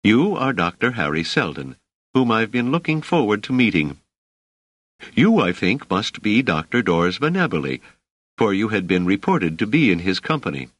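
A man's voice: on the low side, sing-song, moderate pace, a bit bright, dry recording, voice pushed up. Man voicing a merry motherly hostess, lilting and raised. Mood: gracious welcome. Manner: gracious, sing-song, politely chatty. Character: plump, merry, motherly middle-aged woman, genial hostess.

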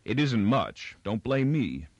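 A man's voice: mid-range voice, big pitch swings, slow pace, no echo, muffled recording, exaggerated voice. A man voicing an easygoing, plain-spoken, affectionate husband in an audiobook. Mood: casual, matter-of-fact.

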